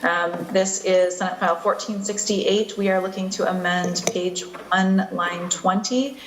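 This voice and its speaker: A woman presents a bill in a measured, formal way, keeping her pitch quite flat. Her voice rings a little in the room's echo. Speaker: county services manager. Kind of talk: formal testimony.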